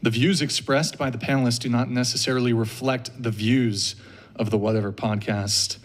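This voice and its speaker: A man hosting a podcast, running through show announcements briskly with deadpan jokes. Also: medium pitch. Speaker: podcast host. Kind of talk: rapid-fire show announcements.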